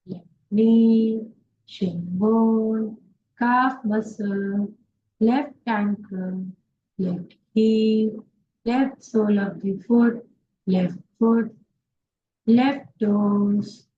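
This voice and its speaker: Slow, high-voiced woman guiding relaxation. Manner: slow, pausing instructions in short phrases. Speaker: yoga nidra instructor. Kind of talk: guided relaxation meditation. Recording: dull lo-fi sound, faint background noise.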